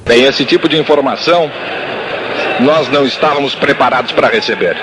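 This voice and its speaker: A man, a radio sports announcer, making a solemn on-air statement with measured delivery. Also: mid-range voice. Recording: noisy archival radio broadcast.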